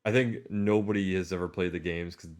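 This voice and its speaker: A man co-hosting a podcast, chatting casually in an informal, halting, jokey way. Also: low voice, fairly even intonation, darkish tone, clean tone. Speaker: podcast co-host. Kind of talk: casual chat about movies.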